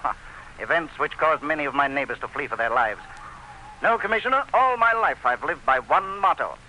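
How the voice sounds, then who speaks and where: An actor playing a stubborn, self-assured aging plantation owner in an old-time radio drama, sardonic and declamatory. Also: male voice, on the high side, animated, a little fast, bright, forceful tone. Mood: defiant, proud. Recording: noisy old radio recording.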